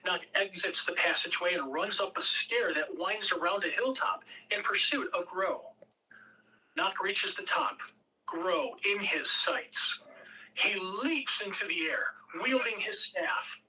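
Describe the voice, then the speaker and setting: Bright-voiced woman narrating a script. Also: lowish voice, expressive, far from the mic, low-quality recording. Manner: measured, occasional stumbles over words. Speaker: narrator reading stage directions. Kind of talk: script narration at a table read.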